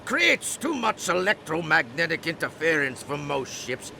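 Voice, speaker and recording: An actor playing a folksy, talkative old island elder in a video game, with a creaky voice and theatrical delivery. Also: male voice, fairly high pitch, big pitch swings, moderate pace, pushed and bright, a little hiss or hum, full character voice. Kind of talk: animated video game cutscene acting.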